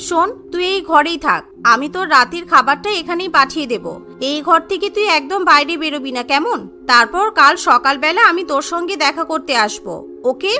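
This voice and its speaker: A very high, bright young woman, a protective and well-off friend in a story, acted by a female narrator. She is reassuring but insistent. Soft music sits behind the voice. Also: animated, normal speed. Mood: insistent, caring.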